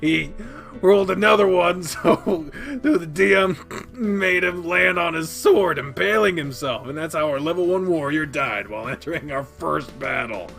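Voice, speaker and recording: Man voicing a young furry gamer guy, boastful and giggly, bright gravelly tone, soft music behind. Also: a little high, moderate pace, voice pushed up. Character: excitable young male animal-person gamer.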